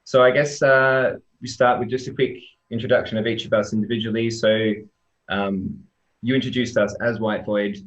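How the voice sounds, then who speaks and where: A man presenting his team's software work on a live-stream, relaxed and chatty with lots of ums. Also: on the low side, normal speed, highs cut off. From Australia.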